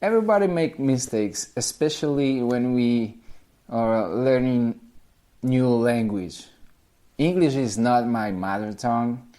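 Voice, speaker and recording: A man's voice: medium pitch, unhurried, mellow. A man in his forties from Poland with a Polish accent, speaking English as a second language, talking casually to camera as a language teacher, repeating himself with small grammar slips.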